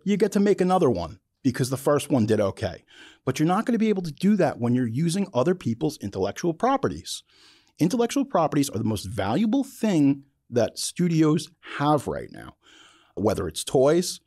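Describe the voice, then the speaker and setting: A man delivers an off-the-cuff, opinionated monologue as a podcast host. His voice is mellow and sits at an average pitch, but it moves around a lot as he drives his points home. The phrasing is blunt and colloquial, and the sound is dry and close. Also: no room sound. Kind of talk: opinionated casual monologue about filmmaking.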